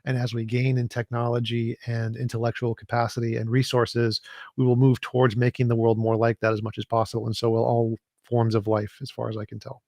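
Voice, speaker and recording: A man in a casual podcast conversation who explains his views in a relaxed way and reads out viewer questions. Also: mid-range voice, fairly quick, creaky, no echo, studio quality. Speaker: podcast guest reading chat questions.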